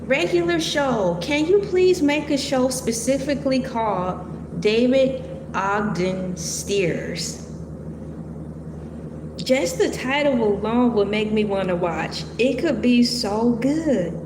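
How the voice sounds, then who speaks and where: Woman vlogger, slight Southern accent, unhurried playful rambling, echoey room with a long reverb tail and steady background noise. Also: fairly high pitch. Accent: Southern US, Georgia-tinged. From Michigan, USA. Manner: rambling, playful, stops to comment on names. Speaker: vlogger reading about a cartoon. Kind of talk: casual reading and chatty asides.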